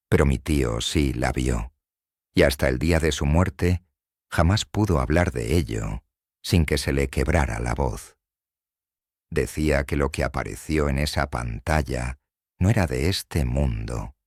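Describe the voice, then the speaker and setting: An extremely deep-voiced man with a Mexican accent tells a horror story as a scripted narration. His delivery is grave and full of suspense. The sound is dry, with no room around the voice. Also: no echo.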